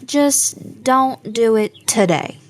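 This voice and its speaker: A level-headed young woman played by an actress in an audio drama, with a fairly high voice and slow delivery. She is guarded, dry and firm. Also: animated.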